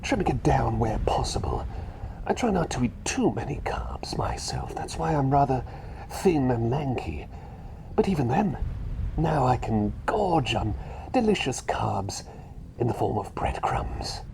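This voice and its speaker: A man voicing a fussy, chatty little bird who is really a doctor, rambling and repeating himself politely in a hushed, breathy ASMR roleplay. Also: mid-range voice, big pitch swings, a little slow, noisy background. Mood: contented, chatty. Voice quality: breathy, half-whispered.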